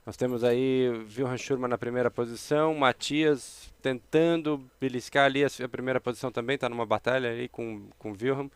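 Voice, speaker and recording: A man with a Brazilian Portuguese accent doing excited play-by-play commentary that rises with the race action. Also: mid-range voice, fast, hardly any pauses, clean tone, dry recording. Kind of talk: live sports commentary.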